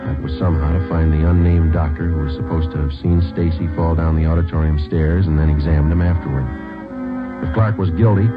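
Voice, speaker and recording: A man playing a terse, matter-of-fact police sergeant narrating a case in an old radio drama, on a muffled recording. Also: rumbling low. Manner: deadpan, terse, procedural.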